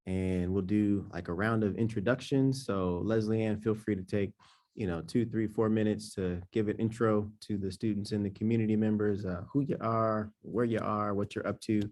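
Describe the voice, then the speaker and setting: A man's voice: lowish voice, steady tone, moderate pace, a little mellow. A man moderating a panel over a video call, casual and loose, peppering his speech with "like". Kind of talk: casual hosting.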